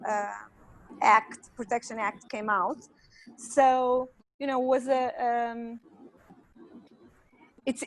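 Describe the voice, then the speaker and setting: A woman with a fairly high voice answers a question over a video call, hesitating as she hunts for the right words. Her sound is dry and close, with no room around it. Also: no echo, rough recording. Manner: unsure, searches for words. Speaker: panelist.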